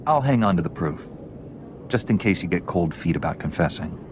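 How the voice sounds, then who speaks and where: A man playing a calm, principled heroic knight in a video game, speaking in a firm, measured way. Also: low-pitched, background noise. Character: calm, principled male Jedi knight hero. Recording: music playing behind.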